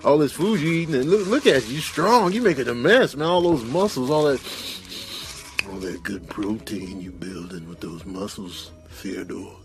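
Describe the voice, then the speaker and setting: A man's voice: lively intonation, a little fast, hardly any pauses, soft background music, close to an everyday voice. A man voicing a sly predatory cat who feigns friendliness, in a comic animal voice-over. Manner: swings from creepy low purr to hasty backtracking.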